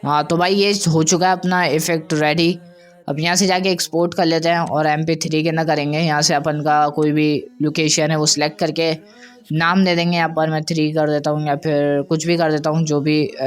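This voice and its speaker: A man's voice: fairly high pitch, flat delivery, normal speed, clear, resonant tone. A man presenting an audio editing tutorial in a casual, conversational way, often checking that listeners follow. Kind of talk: informal software tutorial.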